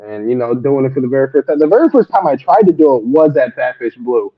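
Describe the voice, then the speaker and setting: A man chatting as a comedy guest, telling loose stories and laughing often. His pitch sits in an ordinary middle range. The sound is dull and lo-fi, like a livestream call. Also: big pitch swings, fast talker, slightly rough. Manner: laughs often, rambling storytelling. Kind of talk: casual interview chat.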